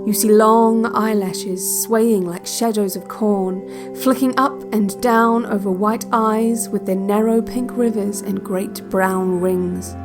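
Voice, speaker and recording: Very slow, calm relaxation tape woman. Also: mid-range voice. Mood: calm, hypnotic. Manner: measured, deliberate, instructional, with short spaced sentences. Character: calm female narrator of an eerie guided relaxation cassette. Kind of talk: slow guided visualization, scripted second-person narration. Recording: music bed under the voice. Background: music.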